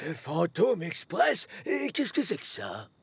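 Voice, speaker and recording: Old male engine, cheerful, very husky, drawn-out and animated. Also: high voice, no echo, exaggerated voice. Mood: amused. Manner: jovial, unhurried. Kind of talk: children's cartoon dub acting.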